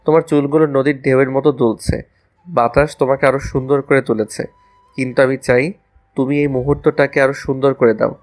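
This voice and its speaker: A man's voice: medium pitch, fairly even intonation, a little fast, a little mellow, lightly acted. Man playing a lovestruck, cheeky young student over music. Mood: earnest, pleading.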